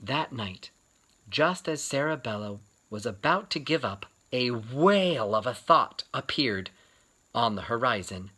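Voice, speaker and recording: A man telling a children's picture-book story as a read-aloud, expressive and varied in his emphasis. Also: medium pitch, unhurried.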